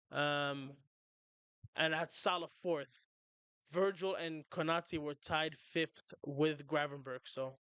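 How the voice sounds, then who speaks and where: Young man, excitable streamer, rambling, smooth clear voice, slightly high, dry close sound. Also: no room sound. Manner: excitable, rambling, trips over words. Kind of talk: casual livestream chat.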